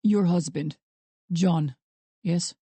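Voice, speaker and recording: A woman voicing a calm, menacing Russian man holding captives in an audiobook thriller, speaking in a deliberate, conversational way with a Russian accent. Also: fairly low pitch, breathy edge, no echo, voice pushed down, heavily acted. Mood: cool, conversational. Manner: conversational, deliberate, coldly amused. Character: calm, menacing, well-dressed Russian male captor.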